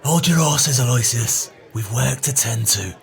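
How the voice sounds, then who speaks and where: A medium-pitched male voice plays a shady working man. He is dry and businesslike, speaking in audio-drama style. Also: lively intonation, unhurried, vocal fry, a faint crowd.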